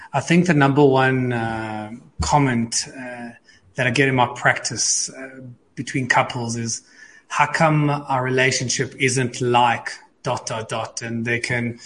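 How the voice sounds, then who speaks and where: Man, medium pitch, psychologist guest, conversational advice, explanatory, emphatic repetition. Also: bright, forceful tone, a little hiss or hum. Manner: explanatory, repeats key phrases for emphasis. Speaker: psychologist, regular expert guest.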